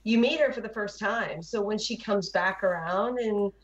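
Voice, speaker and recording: A woman's voice: mid-range voice, moderate pace. A woman on a gaming panel chatting informally and retelling her experiences, heard over lo-fi voice chat audio. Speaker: show panelist.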